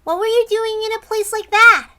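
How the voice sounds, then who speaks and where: A woman's voice: very high voice, steady tone, normal speed, sharp tone, voice pushed up. A woman voicing an exhausted, earnest male captive in a visual novel, questioning urgently. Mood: weary, relieved.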